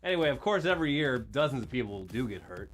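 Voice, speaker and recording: A man co-hosting a scripted comedy news show, with dry, deadpan jokes. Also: medium pitch, quick pace, soft background music. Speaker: co-host.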